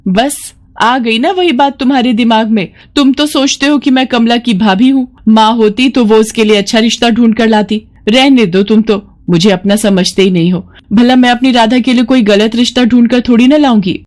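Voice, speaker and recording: A woman's voice: fairly high pitch, fairly even intonation, normal speed, few pauses, a little mellow, pitched above the performer's own voice, lightly acted. A woman voicing a scheming, manipulative sister-in-law in a cartoon story, sly and persuasive. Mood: indignant. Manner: sly, persuasive, put-upon. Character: scheming, manipulative sister-in-law who wheedles her husband.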